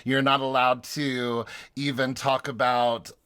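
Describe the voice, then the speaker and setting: A man's voice: mid-range voice, little pitch movement, a little slow, fairly bright tone, clean tone, dry recording, studio quality. A man co-hosting a podcast, chatting eagerly and telling stories at length. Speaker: podcast co-host. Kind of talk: casual chat.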